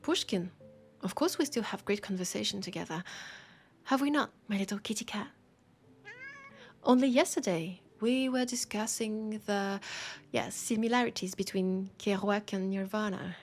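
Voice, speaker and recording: A woman with a French accent playing a teasing, warm bookshop keeper in an intimate audio roleplay, soft and playful, often slipping toward a whisper. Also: medium pitch, moderate pace, slight background noise, natural voice. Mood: musing, playful. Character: teasing, warm young-sounding human bookshop keeper, chatting to vampire.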